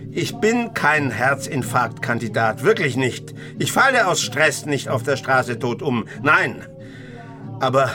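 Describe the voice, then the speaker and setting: A man's voice: a little high, lightly acted. A man acting a misanthropic old hermit of a grandfather in a radio play, grumbling with sardonic bite and dramatic brooding. Music plays behind his voice. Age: older. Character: grumpy, reclusive old grandfather, misanthropic former rebel. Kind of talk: German radio drama acting, dialogue and inner monologue.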